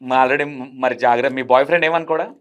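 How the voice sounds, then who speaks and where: A man's voice: medium pitch, very fast. A man hosting a casual phone interview, asking probing questions in a teasing, prodding manner. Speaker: interview host.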